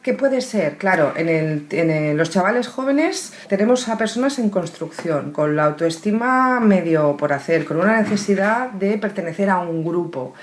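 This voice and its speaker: A woman's voice: on the low side, expressive, normal speed, few pauses, a little hiss or hum. A woman with a Spain accent explaining as an expert criminologist in an interview, didactic and fond of rhetorical questions. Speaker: psychologist and criminologist, expert guest.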